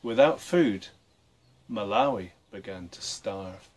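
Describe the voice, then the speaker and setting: A man reading a story aloud for children, with expressive, deliberate phrasing. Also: fairly low pitch. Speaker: storybook reader and presenter.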